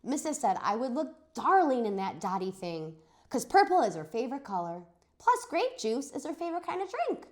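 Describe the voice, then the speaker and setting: A woman teacher giving an expressive read-aloud of a children's story, acting out the dialogue. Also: medium pitch. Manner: animated, dramatizes dialogue. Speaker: teacher reading a chapter aloud for students.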